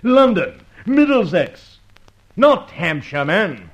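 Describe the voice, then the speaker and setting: A man's voice: high voice, sing-song, slow pace, full character voice. A man playing a blustering, scolding police superintendent in an old radio drama, sarcastic and brusque. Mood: exasperated. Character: blustering, irate Scotland Yard police superintendent. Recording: dull old radio recording.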